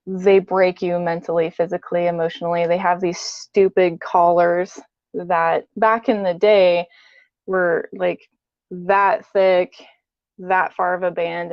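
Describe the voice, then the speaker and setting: A woman's voice: on the low side, dry recording, highs cut off. A woman giving a casual, matter-of-fact personal account as an interview guest.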